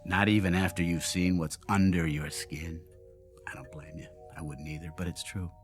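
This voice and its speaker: An actor playing a drunken, world-weary genius inventor who mocks himself bitterly, in radio drama style. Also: male voice, sits low, level tone, normal speed, soft background music, lightly acted. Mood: bleak, taunting. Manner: wry, theatrical, world-weary.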